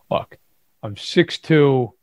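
Brooklyn-accented male co-host, fairly high voice, blunt and self-mocking, dry close sound. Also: darkish tone, dry recording. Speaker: podcast co-host. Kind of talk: casual chat.

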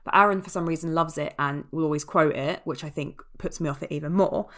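A woman's voice: low voice, fast talker. A woman hosting a casual film podcast, chatty and opinionated, often correcting herself as she talks. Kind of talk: casual film review chat.

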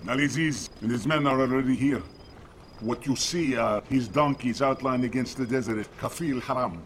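An actor playing a proud, courteous Egyptian tour guide with formal, deferential phrasing in a radio drama. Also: male voice, mid-range voice, normal speed, slight background noise, close to an everyday voice. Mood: calm, reverent.

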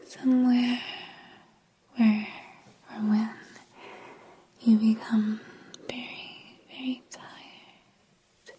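A woman guiding an ASMR sleep relaxation in a soft, breathy whisper, soothing and repetitive, with lingering pauses, close to the microphone. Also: fairly high pitch, flat delivery, a little hiss or hum.

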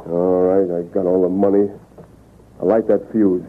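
An American actor playing a ruthless, gloating boss of fur thieves with tough, menacing commands, in 1940s radio drama style. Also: male voice, low voice, little pitch movement, moderate pace, lightly acted. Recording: noisy, narrow-band old radio recording.

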